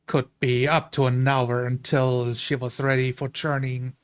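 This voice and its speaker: A man playing a stoic, lost mountain traveller in a tabletop game, measured and matter-of-fact. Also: medium pitch, flat delivery, clear, resonant tone, close to an everyday voice.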